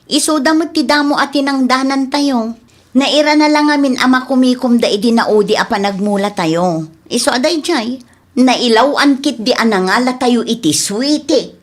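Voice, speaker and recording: A woman's voice: sits high, moderate pace. A woman with an Ilocano Filipino accent playing a firm, dutiful young farm owner in a comedy radio drama. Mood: dutiful explaining.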